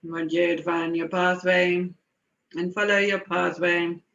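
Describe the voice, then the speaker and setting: A woman slowly guiding a meditation in a soothing, unhurried way, with lingering pauses. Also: on the low side, flat delivery, fairly bright tone, a little hiss or hum. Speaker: guided meditation leader. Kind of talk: slow guided meditation. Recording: dull, echoey room recording.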